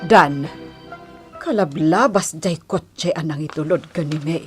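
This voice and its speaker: A woman's voice: low-pitched, a little slow, soft background music. An actress playing a stern, suspicious middle-aged mother in an Ilocano radio drama, admonishing, with a Filipino accent. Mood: reproachful.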